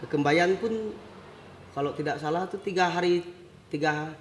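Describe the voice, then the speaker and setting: A man's voice: fairly high pitch, moderate pace. A man with a West Kalimantan Indonesian accent and a local first language, telling childhood stories casually as an interview guest. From rural West Kalimantan, Indonesia. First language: a local language of rural West Kalimantan. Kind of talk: casual storytelling about childhood. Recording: noticeable background noise.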